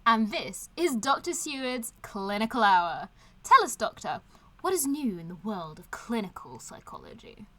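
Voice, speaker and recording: A woman's voice: fairly high pitch, very animated, normal speed, fairly bright tone. A woman acting in an audio drama as a playful, teasing, breezy young woman chatting to friends. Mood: playful, eager. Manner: bubbly, teasing. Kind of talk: audio drama, podcast-style voice message.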